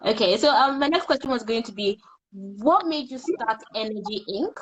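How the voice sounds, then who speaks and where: A young woman hosting an online interview, agreeing warmly and asking questions with hesitant fillers. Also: a little high, lively intonation, normal speed, husky, highs cut off. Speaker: interviewer, host.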